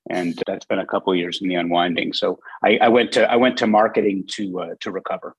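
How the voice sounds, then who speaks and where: A man answering interview questions as a podcast guest, reflective and deliberate, building his thoughts in short, halting phrases. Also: deep voice, fairly even intonation, husky, dry recording, low-quality recording. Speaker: podcast guest, content agency president.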